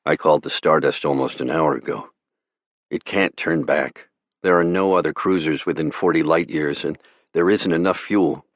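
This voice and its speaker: A man voicing a grim, weary spaceship pilot who speaks flatly and firmly. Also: a bass voice, normal speed, dry recording.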